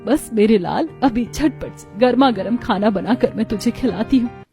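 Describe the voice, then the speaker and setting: Woman voicing a gentle, mellow-voiced poor momo seller. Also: on the high side, normal speed, soft background music, close to an everyday voice. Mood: tender. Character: poor, hardworking, tender young mother selling momos. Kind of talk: cartoon story character voice.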